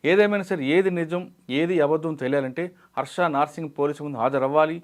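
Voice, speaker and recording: A male news presenter with a Telugu accent delivers scripted commentary at a quick clip. His voice sits slightly high for a man.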